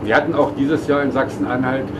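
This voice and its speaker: A man's voice: mid-range voice, steady tone, fast talker, background noise. A man presenting a regional food award in formal speech, often hesitating with fillers. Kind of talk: official speech.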